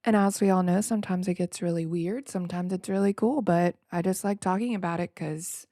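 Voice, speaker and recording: A woman hosting a podcast alone in a casual, freestyle way, slightly nasal, reflective and rambling, often halting and repeating words. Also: fairly low pitch, steady tone, mellow, no echo, studio quality. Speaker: podcast host.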